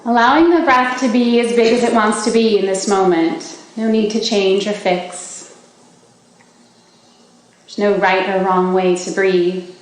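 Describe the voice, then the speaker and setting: A woman leading a guided meditation for a group, giving slow, gentle instructions with long pauses. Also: medium pitch, steady tone. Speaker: meditation teacher leading a body scan. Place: large indoor gathering space. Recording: very echoey room with faint background noise.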